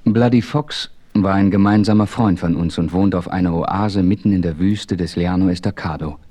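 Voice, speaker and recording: Casting: composed frontier hero and storyteller, low voice, measured dramatic narration for a western radio play. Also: male voice, mellow. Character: calm, self-assured adventurer narrating his western exploits.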